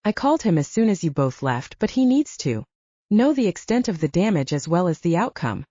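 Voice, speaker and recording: A woman's voice: fairly low pitch, very animated, fairly quick, mellow, dry recording, muffled recording. A woman voicing a young blonde werewolf woman, protective and reassuring, with a soothing but firm manner, in an audiobook.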